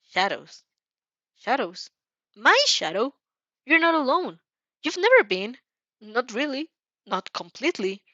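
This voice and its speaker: A woman narrating a fan fiction story dramatically, with an expressive, somewhat halting delivery. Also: mid-range voice, no echo, muffled recording.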